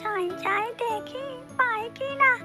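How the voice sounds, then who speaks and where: Female witch ghost, piercingly high, shrill, slow-paced, whining, vengeful, theatrical, cartoon, loud music behind. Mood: eager. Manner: whining, repetitive, theatrical exclamations. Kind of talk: Bengali cartoon voice acting.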